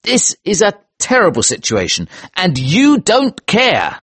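A man's voice: on the high side, huge pitch swings, slow, husky, no echo, voice pushed up, heavily acted. Man playing an indignant trader, wildly swooping intonation. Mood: angry. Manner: emphatic, impatient. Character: indignant, impatient British male trader, easily worked up.

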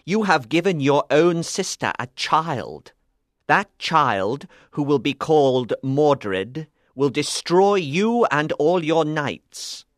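A woman acts out an old storybook wizard, stern and all-knowing. The voice is low for the performer and very slow, with grave, deliberate pronouncements in a dry, close sound. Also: animated, no echo. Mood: ominous, declaring. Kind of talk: storybook character voice.

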